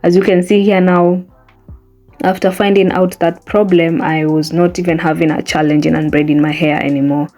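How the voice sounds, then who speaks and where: A young woman whose first language is Swahili speaks in a mellow, slightly low voice, chatting casually and earnestly from a small bedroom. She keeps coaxing her viewers along with friendly check-ins. Faint music plays underneath. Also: level tone, normal speed. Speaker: hair care vlogger. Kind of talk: casual how-to chat to camera.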